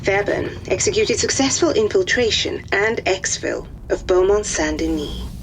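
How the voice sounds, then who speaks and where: A woman's voice: medium pitch, lively intonation, normal speed, lightly acted. Formal wartime intelligence woman over noise acted. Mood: formal, detached. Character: female wartime intelligence officer, formal and detached. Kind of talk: clipped scripted military briefing. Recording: noisy briefing audio.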